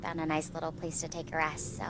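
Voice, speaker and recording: A woman playing a stranded, guilt-ridden young astrophysics researcher in a sci-fi drama, speaking softly and hesitantly in a confiding way. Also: low-pitched, completely flat delivery, fast, noisy background, close to an everyday voice. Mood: tired, friendly. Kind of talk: conversational sci-fi roleplay.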